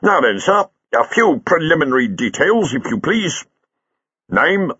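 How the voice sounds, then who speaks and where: A man puts on a weary but dogged Victorian police sergeant from the East End, in his vowels. The voice lifts above the narrator's own, with a lively, rising lilt. The audiobook sound is dry and a little muffled. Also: on the high side, measured pace, husky, dry recording, voice pushed up, exaggerated voice. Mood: dogged, patient. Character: stolid middle-aged Victorian police sergeant, patient.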